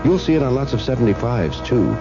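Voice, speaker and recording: A man's voice: medium pitch, big pitch swings, fast. A man reading a scripted 1970s radio advertisement as a commercial announcer. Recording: muffled old radio broadcast. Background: loud music.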